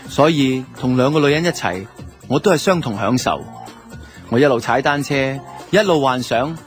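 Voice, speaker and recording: A man's voice: medium pitch, normal speed, faint music behind, lightly acted. A man with a Hong Kong Cantonese accent playing a guilty, self-justifying two-timing boyfriend who narrates a radio drama in colloquial first person. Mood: guilty, confessional. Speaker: lead actor and narrator.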